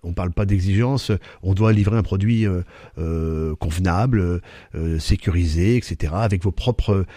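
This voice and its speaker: A man's voice: low-pitched, normal speed, mellow, no room sound, clean studio sound. A man answering interview questions as a company executive, hesitant, with many fillers and restarts.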